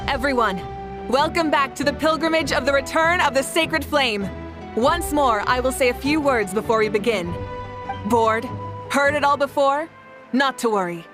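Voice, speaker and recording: A woman voice actor playing a confident, warm and commanding leader in a video game, speaking with measured assurance. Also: high voice, over music, natural voice. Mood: rousing, solemn.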